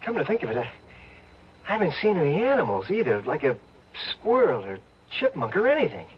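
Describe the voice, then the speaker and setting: An actor playing an anxious, grumbling man lost in the woods, excitable and hesitant, in an old time radio drama. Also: on the high side, brisk, breathy edge, heavily acted. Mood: weary, uneasy. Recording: muffled old radio broadcast, some noise.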